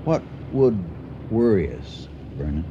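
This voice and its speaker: An actor playing an older, dry-witted husband in a radio drama, speaking in a measured, deliberate way. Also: male voice, lowish voice, big pitch swings, mellow. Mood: uneasy, guarded. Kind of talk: 1980 radio drama acting. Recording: muffled radio recording, faint noise.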